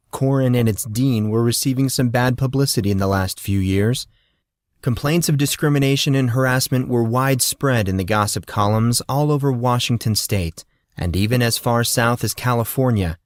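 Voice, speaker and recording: Male audiobook narrator for young fantasy fiction, mid-pitched voice, very dry recording. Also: no echo.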